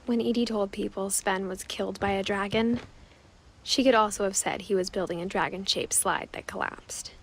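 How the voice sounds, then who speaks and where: An actress narrating a video game as a wistful young woman recalling her family's past, soft and often close to a whisper. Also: mid-range voice, steady tone, moderate pace, slight background noise, close to an everyday voice. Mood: reflective. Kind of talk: scripted narration. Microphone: studio voice-over.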